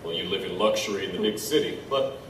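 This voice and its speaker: A knowledgeable, wry tribesman, played by a man in an audio drama. His voice sits fairly low, with a measured, musing storyteller's delivery. The recording is echoey and slightly distant. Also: natural voice. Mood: wry, welcoming. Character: wry, knowledgeable Chinook tribesman, old friend and lore-teller. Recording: roomy, distant, faint background noise.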